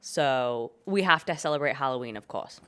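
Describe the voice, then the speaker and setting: A young British woman hosting her own podcast in a relaxed, chatty, jokey way, her voice hoarse from a cold. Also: rumbling low, moderate pace. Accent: British English. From Britain. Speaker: podcast host. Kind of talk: casual chat.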